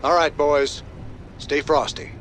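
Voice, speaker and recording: An actor playing a tough, wry rebel commander in a game cutscene, talking in a laconic, folksy way. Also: male voice, a little high, fairly even intonation, takes it slowly, fairly bright tone, a little hiss or hum. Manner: laconic, folksy, dry humor. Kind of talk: video game cutscene acting.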